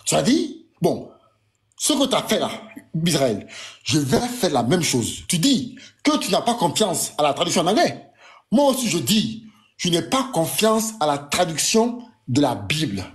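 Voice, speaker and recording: A man arguing as a panelist in an online debate. His voice sits fairly high. He presses his point insistently, repeating phrases in quick bursts. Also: sing-song.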